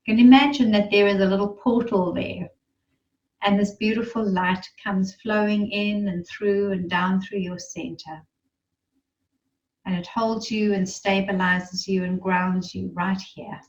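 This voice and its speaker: A woman leading a guided meditation in a soothing, unhurried manner, with gentle instructions and pauses. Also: mid-range voice, fairly even intonation, far from the mic, highs cut off.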